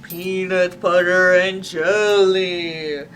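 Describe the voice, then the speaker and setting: A woman's voice: on the low side, fairly even intonation, pitched below the performer's own voice. Woman putting on a moaning, hungry storybook zombie. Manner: drawn-out moaning. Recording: distant mic with faint background noise.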